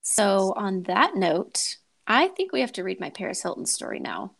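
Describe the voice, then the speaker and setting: A woman's voice: medium pitch, big pitch swings, moderate pace, creaky, a bit bright. A woman podcast host, talking and reading a story aloud in a dramatic way.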